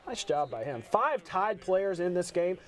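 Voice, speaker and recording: A man with a fairly high-pitched voice works as a sports show host. He moves between calling highlights in an upbeat broadcast style and asking interview questions. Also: big pitch swings, a little slow.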